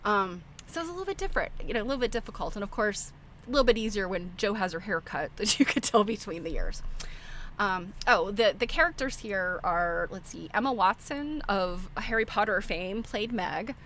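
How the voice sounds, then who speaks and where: A woman's voice: medium pitch, expressive, a little fast, a bit bright, slight background noise. A middle-aged woman hosting a livestream, chatting informally and giving opinionated, rambling film commentary. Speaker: livestream host. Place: live internet stream.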